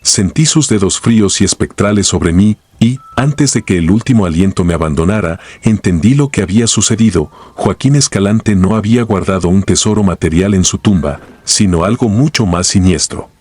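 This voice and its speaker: A man narrating horror stories in a grave, measured, scripted way. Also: deep voice, animated, darkish tone, breathy edge, no echo.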